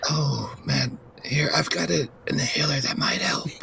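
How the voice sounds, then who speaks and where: A man's voice: fairly high pitch, moderate pace, vocal fry, background noise. A man playing a tabletop role-playing game, switching between improvised in-character talk and narrating his character's actions.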